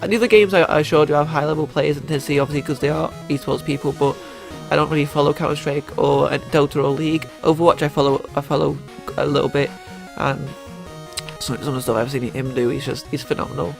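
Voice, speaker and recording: A young man talks through his gaming picks in a gravelly, slightly high voice. He is stuffed up from a cold and rambles, restarting his sentences and filling pauses with "uh". Faint music plays behind him. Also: steady tone. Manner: rambling, many fillers and restarts. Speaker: YouTuber. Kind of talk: casual gaming commentary.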